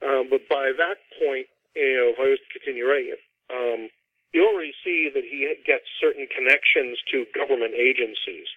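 A man's voice: mid-range voice, fairly quick, no room sound. A middle-aged man, an author being interviewed, explains things at length in a conversational way over a band-limited, phone-like line. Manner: explanatory, talks at length about his fiction.

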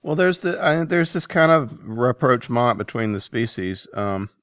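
A man's voice: medium pitch, animated, normal speed, fairly bright tone, smooth, no echo. A man hosting a podcast, asking informal, loosely phrased questions in casual interview chat.

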